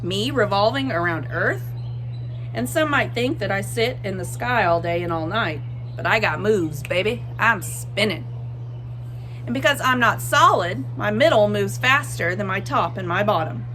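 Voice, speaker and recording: Boastful storybook Sun, played by a woman: mid-pitched, theatrical, playful, stretches key words, some background noise. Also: lively intonation, lightly acted. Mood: smug, playful. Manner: theatrical, bragging, with drawn-out emphasis. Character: boastful, playful Sun, a cheerful showboating star. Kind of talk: expressive picture-book read-aloud.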